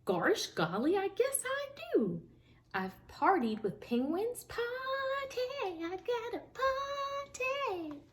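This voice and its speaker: A woman voicing a boisterous young female otter explorer in a storybook, loud and exclamatory. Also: very high-pitched, very animated, slow pace, voice pushed up, full character voice. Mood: excited.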